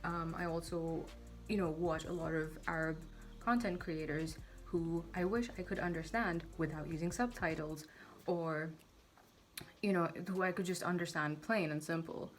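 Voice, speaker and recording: A woman's voice: on the low side, moderate pace, faint music behind. A woman in her twenties from Saudi Arabia, talking casually to camera in a chatty, self-deprecating way. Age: 20s. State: tired. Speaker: vlogger. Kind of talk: casual vlog talk to camera.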